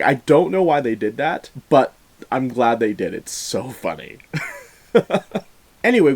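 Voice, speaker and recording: Young man with a lowish voice. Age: young adult. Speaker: host, film reviewer. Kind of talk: semi-scripted review commentary.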